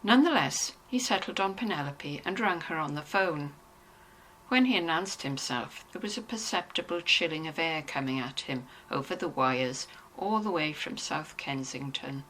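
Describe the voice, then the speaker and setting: A woman narrating a novel in an expressive, scripted storytelling style. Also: low voice, moderate pace, slight background noise, poor audio.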